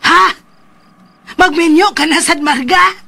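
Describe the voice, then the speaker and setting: A woman playing a fretful older grandmother in a radio drama, with a husky voice and a Cebuano Filipino accent. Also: extremely high pitch, takes it slowly, exaggerated voice. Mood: questioning. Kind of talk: Philippine radio drama acting.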